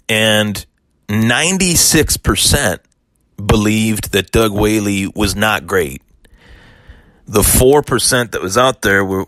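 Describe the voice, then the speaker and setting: Hoarse, lowish-voiced sports podcast host. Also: male voice, a bit bright, no room sound. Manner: aggrieved, defensive, rambling with restarts. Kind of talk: solo podcast rant.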